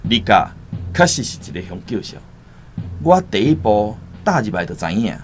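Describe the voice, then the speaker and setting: A man's voice: on the low side, very animated, moderate pace, soft background music. Defiant young Taiwanese rebel man. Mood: grim, demanding. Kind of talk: Taiwanese radio drama acting.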